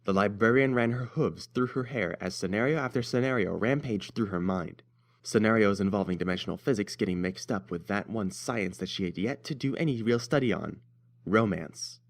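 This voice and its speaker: A man's voice: lowish voice, moderate pace, creaky. A man reading scripted story narration as the narrator.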